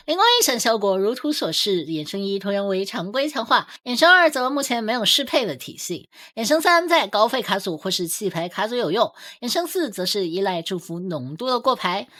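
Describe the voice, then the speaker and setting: Lively woman narrating a game guide. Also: medium pitch. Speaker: game guide video host. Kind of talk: scripted game guide commentary voice-over.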